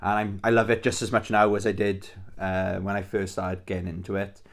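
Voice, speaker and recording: A man's voice: deep voice, monotone, fairly quick. Chatty Welsh man with a game collection. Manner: chatty and enthusiastic, swears casually, many restarts. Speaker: YouTube channel host. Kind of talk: casual rambling video chat about his game collection.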